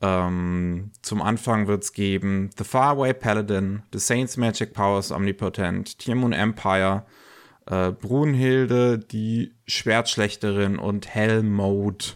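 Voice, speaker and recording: Low-voiced man with a cold. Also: slow pace. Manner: relaxed, many fillers and restarts. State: has a cold. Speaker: podcast host. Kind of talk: casual news chat.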